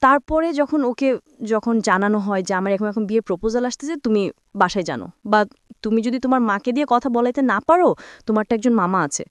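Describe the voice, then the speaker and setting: A woman's voice: on the high side, animated, a little fast, dry recording. A young woman with a Bangladeshi accent telling her own life story conversationally, relaying remembered dialogue as she goes. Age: young adult.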